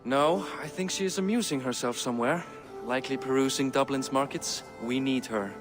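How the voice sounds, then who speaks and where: A man playing a calculating, dignified Viking king of Dublin with measured speech in a video game cutscene. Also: medium pitch, natural voice. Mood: measured concern. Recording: long reverb, music underneath.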